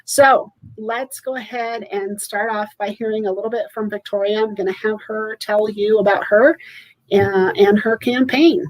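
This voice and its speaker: A woman's voice: mid-range voice, fairly even intonation, normal speed, no echo, poor audio. A woman in her forties hosting a live political roundtable from home over a video call, chatty and upbeat with many fillers. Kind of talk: live webcast chat. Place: home room with shelves behind. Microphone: webcam on a video call.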